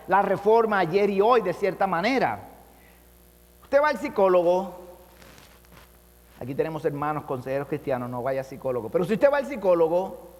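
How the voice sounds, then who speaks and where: A man with a high, ringing voice delivers an impassioned sermon, pushing his pitch up and down to hammer each point. His words trail into the long reverb of a church hall. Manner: impassioned preaching, pitch swinging with emphasis. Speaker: pastor.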